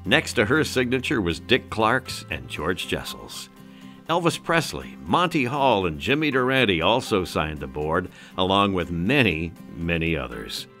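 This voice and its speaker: Deep, lively male documentary narrator. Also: a little slow, faint music behind. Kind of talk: scripted narration.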